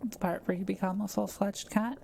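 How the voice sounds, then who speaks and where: A woman playing a kind forest witch in a roleplay audio drama, speaking softly, carefully and patiently to the listener. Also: mid-range voice, fairly even intonation, a little fast, gravelly, a little hiss or hum, lightly acted. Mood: caring, attentive. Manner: soft-spoken, careful, patient explaining. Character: kind, quiet-living forest witch, gently reassuring.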